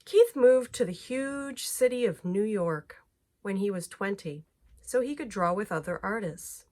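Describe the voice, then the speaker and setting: A woman reading a children's picture book aloud in an expressive storytelling manner, phrasing clearly for young listeners. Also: mid-range voice, measured pace.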